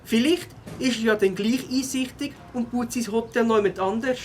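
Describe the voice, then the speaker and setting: A woman with a Swiss German accent playing a plucky, determined child beaver in a children's audio drama, with a husky voice. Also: a little high, normal speed, a little hiss or hum, close to an everyday voice.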